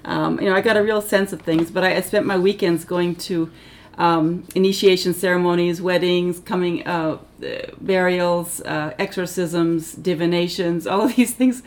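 A middle-aged woman speaks with a low voice. Her first language is Finnish, and she grew up in Tanzania. She talks in a thoughtful, halting way, correcting herself often. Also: fairly even intonation, slight background noise. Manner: thoughtful, halting, many ums and self-corrections. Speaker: interviewee, Africanist scholar. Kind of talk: reflective oral history interview.

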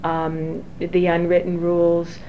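A woman in her forties, an engineering professor, recalling her career slowly with many fillers and restarts. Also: on the low side, completely flat delivery. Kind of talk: oral history interview. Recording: dull lo-fi recording with faint hiss.

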